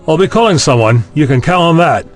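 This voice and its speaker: An actor playing an indignant, officious vice-chancellor in an audio drama, brusque and scolding with a husky voice. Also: male voice, on the high side, expressive, fairly quick, soft background music, close to an everyday voice.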